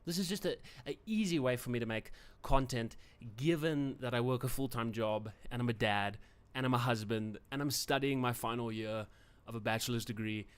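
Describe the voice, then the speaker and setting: A man's voice: mid-range voice, normal speed, hardly any pauses, clear, resonant tone. Chatty South African man, rambling. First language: Afrikaans. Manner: rambling, chatty, frequent fillers and self-corrections. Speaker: channel host. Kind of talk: casual livestream talk.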